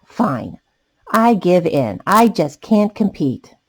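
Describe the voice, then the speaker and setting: Storybook giraffe, male, sulky and self-important, played by a woman with big pitch swings and deliberate pace. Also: big pitch swings, darkish tone, breathy edge, full character voice. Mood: grudging defeat. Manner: huffy, dramatic, self-important. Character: vain, jealous, sulky male giraffe at a zoo.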